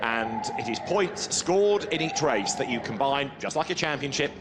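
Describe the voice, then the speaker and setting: A man commentating on a motor race from a commentary booth, explaining the rules in a measured, informative way. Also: medium pitch, animated, noisy background.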